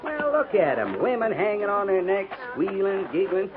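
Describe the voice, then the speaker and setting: An actor playing a folksy, grumbling bachelor cavalry soldier in a 1950s radio western, with colloquial frontier speech. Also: male voice, high-pitched, big pitch swings, moderate pace. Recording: tinny 1950s radio recording, crowd behind.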